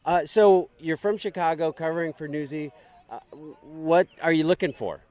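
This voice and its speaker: A man's voice: on the high side, moderate pace, a little mellow. Easygoing podcast host man. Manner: friendly, easygoing banter. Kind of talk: casual roaming interviews and narration. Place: outdoors at a bike ride event. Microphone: handheld mic.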